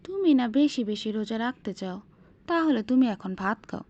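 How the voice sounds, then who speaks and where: A woman's voice: a little high, big pitch swings, a little fast, darkish tone, muffled recording. A Bangladeshi woman playing a patient, coaxing mother in an amateur cartoon.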